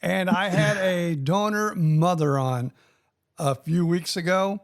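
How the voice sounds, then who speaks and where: High-voiced gravelly male podcast host. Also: slow pace. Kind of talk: podcast interview.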